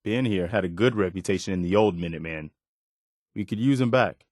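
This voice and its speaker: An actor playing an earnest, steadfast but weary militia officer in a video game, sincere and plainspoken. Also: male voice, low-pitched, fairly quick, a little mellow, no echo, clean studio sound. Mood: earnest, steady. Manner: sincere, plainspoken, morally upright. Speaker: game companion character.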